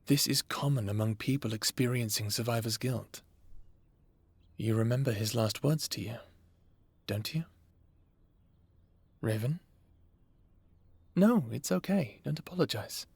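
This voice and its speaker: A man playing a calm, caring therapist who talks to a patient in a measured, reassuring way, in an audio roleplay. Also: medium pitch, creaky, slightly rough, no room sound. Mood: gentle, reassuring.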